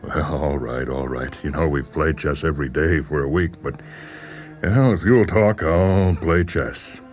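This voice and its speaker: An extremely deep-voiced male doctor, acted by a radio reader, humors his patient with chummy, patronizing patience. He hesitates gently as he probes, in a dramatized story with music playing behind him. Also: measured pace. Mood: coaxing, jovial. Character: affable male doctor coaxing a stubborn psychiatric patient. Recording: voice over background music.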